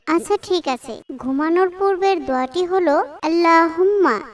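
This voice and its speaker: A gentle devout cartoon mother. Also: very high-pitched, far from the mic. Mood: gently instructive. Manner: slow, tender, instructive. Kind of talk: dubbed cartoon acting.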